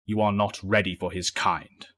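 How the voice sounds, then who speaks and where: A man acts a stern male mentor in an audio drama. His low voice is measured and formal, with protective authority. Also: fairly even intonation, a bit bright.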